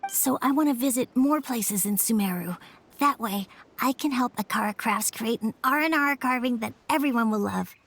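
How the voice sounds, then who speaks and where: A woman's voice: fairly high pitch, normal speed, a bit bright. A woman voicing an earnest, imaginative young boy in a video game, speaking sincerely. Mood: hopeful, grateful. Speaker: voice actor in a game. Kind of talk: emotive video game story dialogue.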